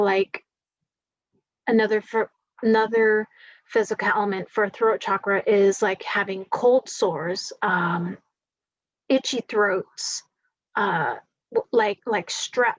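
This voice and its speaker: A woman with a groggy voice giving a spiritual forecast, talking slowly and wandering with many fillers. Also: medium pitch, no echo, muffled recording. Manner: slow, meandering, many fillers. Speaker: spiritual reader.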